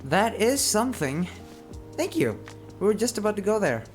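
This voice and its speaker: A man's voice: sits high, very animated, normal speed, faint music behind. A man playing a polite, earnest young fox-spirit detective's partner in an audio roleplay drama, speaking carefully. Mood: businesslike.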